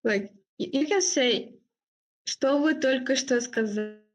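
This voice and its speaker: Young woman, higher voice. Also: measured pace. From Uzbekistan. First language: Uzbek. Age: young adult. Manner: relaxed, frequent "like" and "actually". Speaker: language exchange partner. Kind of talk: casual video chat.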